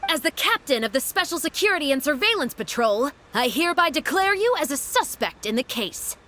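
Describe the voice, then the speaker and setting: A woman's voice: high voice, sing-song, fairly quick, pushed and bright, full character voice. A woman voicing a stern, righteous female security patrol captain in a video game, authoritative, formal and declamatory. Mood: commanding. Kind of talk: dramatic video game voice acting.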